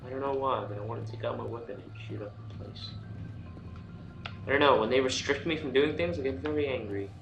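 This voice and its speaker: A man's voice: medium pitch, a little fast, vocal fry. A man giving casual, sarcastic, silly commentary as he plays a video game. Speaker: let's play host. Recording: roomy echo, faint game music.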